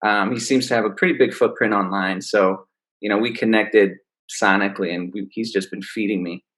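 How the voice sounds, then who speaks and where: Laid-back male singer, low-ish voice. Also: a little fast. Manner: relaxed, laid-back explaining. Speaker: R&B singer, guest. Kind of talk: casual interview.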